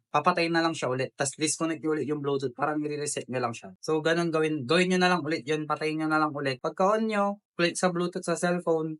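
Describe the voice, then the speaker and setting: A man's voice: a little high, fairly bright tone, clear, resonant tone. A Filipino man giving a casual step-by-step tutorial as a vlogger, informally addressing viewers like friends.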